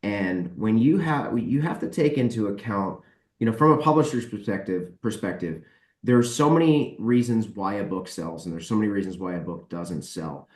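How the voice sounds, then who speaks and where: A man with a low voice speaks in a casual podcast discussion, offering firm opinions with emphasis. He sounds set back from the microphone, in a roomy, echoing space. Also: animated, moderate pace, a little mellow. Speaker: author and podcast panellist. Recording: roomy echo, distant from mic.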